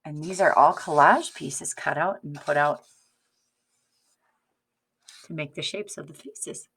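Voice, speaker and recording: A woman reading a picture book aloud like a teacher, expressive and instructive, addressing young children with questions. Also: deep voice, unhurried, gravelly, slightly rough.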